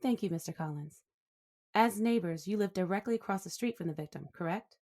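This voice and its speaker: A woman's voice: fairly low pitch, fairly quick, a little mellow, lightly acted. A woman playing a prosecuting attorney in a courtroom audio drama, formal, precise and courteous in her questions.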